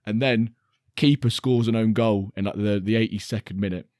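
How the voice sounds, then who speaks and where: A man with a lowish, slightly croaky voice recounts a football match in animated, casual podcast chat. The recording is close and dry. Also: normal speed, no room sound. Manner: animated storytelling. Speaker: podcast co-host.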